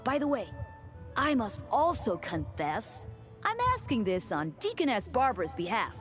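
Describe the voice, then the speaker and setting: A woman's voice: on the high side, big pitch swings, moderate pace, over music. A woman playing a devout, polite young male deacon with an impish streak in a video game, speaking formally and earnestly. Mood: polite, reassuring. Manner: formal, courteous, playfully earnest. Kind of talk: scripted video game dialogue.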